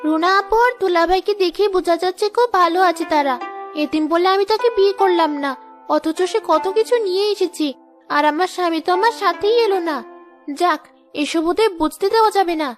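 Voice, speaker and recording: Woman voicing a very high-pitched, vain Bangladeshi bride. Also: brisk, soft background music. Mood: boastful, envious. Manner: complaining, boastful. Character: vain, proud young bride hiding her unhappiness. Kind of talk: cartoon dialogue dub.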